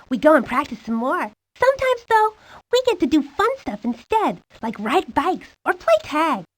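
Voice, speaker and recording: Sporty, slightly vain game girl, very high and lively, breezy chatter, dry sound. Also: no room sound. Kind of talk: video game character monologue.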